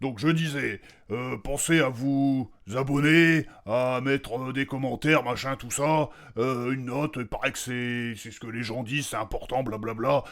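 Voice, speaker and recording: A man playing a gruff, foul-mouthed fantasy adventurer in a comic audio drama, gravelly and impatient, grumbling crudely. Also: medium pitch, steady tone, a little slow, hardly any pauses, fairly bright tone. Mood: grumpy, impatient.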